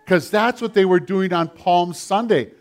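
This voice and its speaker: An older man preaching a sermon with a children's message, animated and storytelling with a big voice. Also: sits high, a little slow.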